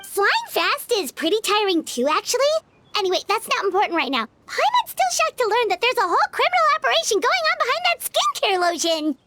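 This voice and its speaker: An actress voicing a tiny, excitable flying female companion who talks about herself in the third person. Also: very high voice, brisk, background noise. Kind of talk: video game voice acting.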